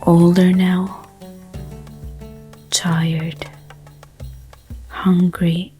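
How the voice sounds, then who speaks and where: The woman on a sleep-story track late at night, lowish voice, gently murmuring each phrase very slowly over music. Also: monotone. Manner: gentle, soothing, hushed, unhurried phrasing. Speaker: narrator. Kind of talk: slow bedtime story narration. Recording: soft music under the voice. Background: background music.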